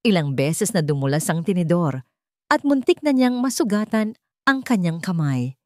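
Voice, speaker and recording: A woman narrating a scripted story in a dramatic, suspenseful way, in deliberate short phrases. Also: lowish voice, sing-song, no echo, clean studio sound.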